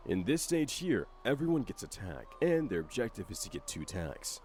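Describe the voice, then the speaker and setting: A man's voice: lowish voice, very animated, moderate pace, a crowd in the background. A man delivering scripted explainer narration for a video game update. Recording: faint music under narration. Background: faint music.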